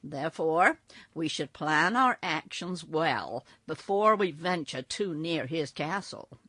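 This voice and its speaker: A woman's voice: low-pitched, expressive, pushed and bright, no echo, heavily acted. A woman reading a firm old wizard. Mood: planning. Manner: measured and emphatic. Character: wise old male wizard, firm and commanding.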